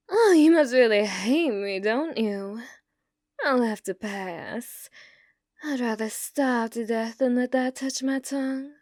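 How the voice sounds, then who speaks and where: A woman playing a flirtatious, teasing, dominant female bounty hunter in a western ASMR roleplay, coaxing with drawn-out endearments. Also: medium pitch, a little mellow, no room sound, clean studio sound. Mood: hungry, playful complaint. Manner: coaxing, drawling endearments, drops into breathy whispers. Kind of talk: ASMR roleplay, scripted character acting.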